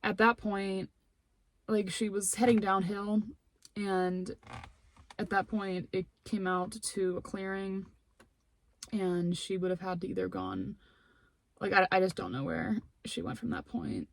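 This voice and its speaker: A woman's voice: mid-range voice, measured pace, vocal fry, pauses often, dry recording. A woman bowhunter filming her own hunt, whispering in a breathless, shaky, anxious way, shaken by adrenaline, outdoors in the woods. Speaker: bowhunter vlogger. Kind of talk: whispered hunting vlog commentary.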